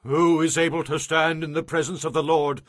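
A man's voice: a little high, little pitch movement, moderate pace, dry recording, clean studio sound, lightly acted. An actor playing a frightened, pleading townsman of ancient times in a dramatized audio Bible, speaking urgently. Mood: distraught.

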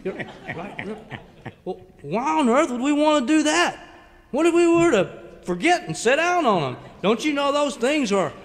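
An actor playing a flustered, excitable, naive cowboy in a parody radio serial. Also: male voice, very high-pitched, normal speed, heavily acted. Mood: puzzled. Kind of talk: parody old-time radio serial acting.

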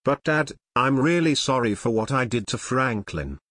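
A text-to-speech man voicing a young man in jail who pleads with his father in an animated fan video. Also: medium pitch, normal speed, slightly rough, no room sound, clean studio sound. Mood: pleading. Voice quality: flat synthetic text-to-speech voice. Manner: whiny, pleading.

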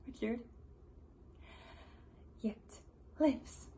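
A woman's voice: drawn-out words, warm tone. A teenage woman acting a vengeful, grieving queen in a declamatory, impassioned Shakespearean monologue. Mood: bitter, gloating. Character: vengeful grieving old queen, cursing her enemies.

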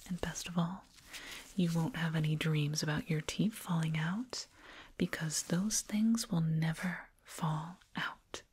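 A woman playing a soothing, proud alien dentist in an ASMR roleplay, whispering gently and repetitively up close. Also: deep voice, steady tone, slow pace, exaggerated voice. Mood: soothing, hypnotic. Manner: gentle, repetitive, reassuring murmur. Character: soothing, proud alien dentist with eerie delight in sharp teeth. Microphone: binaural mic, up close. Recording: close binaural mic, clear noise.

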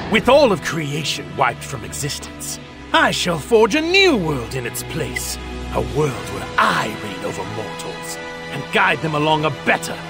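Game villain: haughty, grandiose god, contemptuous and theatrical, huge swings in pitch, music underneath. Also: male voice, creaky, exaggerated voice. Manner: pompous, theatrical, formal diction. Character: arrogant male god, grandiose, contemptuous villain bent on destruction. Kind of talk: dramatic video game voice acting.

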